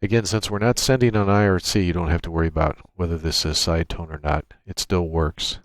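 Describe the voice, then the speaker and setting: A man presenting an informal software tutorial, explaining things step by step into a USB microphone. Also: low-pitched, steady tone, fairly quick, dry recording. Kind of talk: informal software screencast walkthrough. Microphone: USB microphone through a noise gate.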